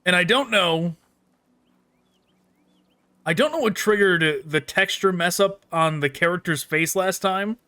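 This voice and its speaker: Bright, higher-pitched man doing game commentary. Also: measured pace, long pauses, clear, resonant tone, no echo. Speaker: gaming video host. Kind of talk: casual game playthrough commentary, reading dialogue choices aloud.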